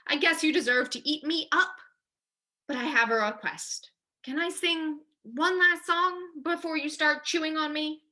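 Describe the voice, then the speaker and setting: Woman putting on a thin, high-voiced crafty old grandma. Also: measured pace, pushed and bright. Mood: resigned, sly. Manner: sing-song chanting. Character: plucky, crafty old grandma chanting in disguise.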